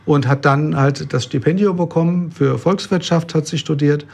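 Man in his fifties, raspy, gravelly voice, slightly high pitch, pastor in an interview. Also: moderate pace. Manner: relaxed storytelling, frequent hesitations. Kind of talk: interview conversation.

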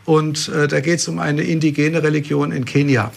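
A middle-aged man from Germany, a pastor, talking about his life in a relaxed interview with dry humor and plain explanations. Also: a little high, moderate pace, vocal fry. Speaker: pastor and guest. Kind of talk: casual interview talk.

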